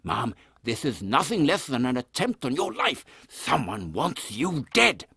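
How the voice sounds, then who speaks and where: A man voicing an anxious, gentlemanly Victorian captain with an English accent and a lisp, formal and easily flustered in an audio comedy. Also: medium pitch, sing-song, unhurried, vocal fry, slightly rough, no room sound, clean studio sound, full character voice. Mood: alarmed.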